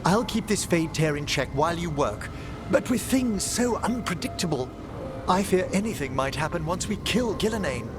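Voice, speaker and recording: An actor playing a courteous, learned mage who speaks formally and worriedly in a video game cutscene. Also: male voice, on the high side, animated, normal speed, lightly acted. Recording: game audio with music.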